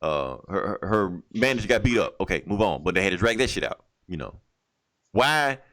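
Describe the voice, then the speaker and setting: A man's voice: sits low, sing-song, brisk, a bit bright, dry recording. A man hosting a comic book podcast, chatting casually through a review in blunt, slangy, profane language.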